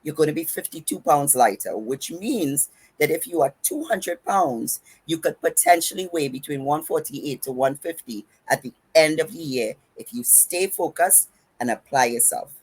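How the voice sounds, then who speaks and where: A woman's voice: low voice, lively intonation, normal speed, husky, low-quality recording. A woman with a Trinidadian accent hosting a podcast, giving fitness and diet advice in an emphatic, instructive way, running her words together. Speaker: podcast host and fitness coach.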